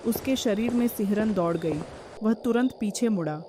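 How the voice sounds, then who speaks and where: A woman's voice: mid-range voice, moderate pace, noisy background. A woman giving scripted narration of a horror story as its narrator.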